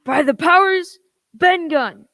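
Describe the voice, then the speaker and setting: A woman's voice: very high voice, slow pace, pushed and bright, full character voice. A woman playing a sly, swaggering pirate ringleader in a radio play, with wheedling sailor talk. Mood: cajoling.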